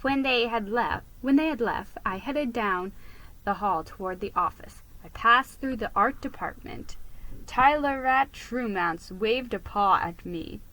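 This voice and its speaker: A woman narrates a children's storybook with theatrical expression. Her voice is bright and animated, and she reads at an unhurried pace. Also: a little high, rough recording. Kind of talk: dramatic storybook reading.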